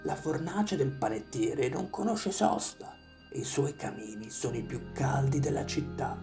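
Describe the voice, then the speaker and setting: Hushed, creaky wise old owl by a narrator. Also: mid-range voice, big pitch swings, a little slow, over music. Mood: calm, knowing.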